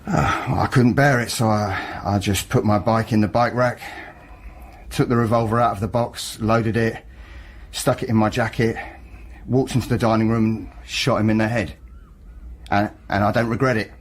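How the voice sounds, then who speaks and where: A ruined working-class killer confessing in a naturalistic radio drama. His voice is low and husky, and he speaks haltingly, with bitterness and defiance. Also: fairly even intonation. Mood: bitter, defiant.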